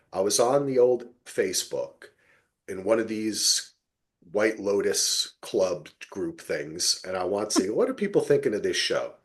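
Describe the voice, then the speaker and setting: Animated male podcast host, swooping intonation. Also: lowish voice. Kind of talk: casual TV recap discussion.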